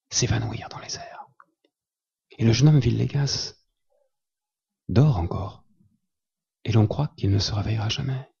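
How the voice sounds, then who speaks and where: Male reader, husky and low, measured storytelling, muffled event recording. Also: a little mellow. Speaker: reader at a literary tribute. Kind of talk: literary reading aloud. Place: small cinema salon.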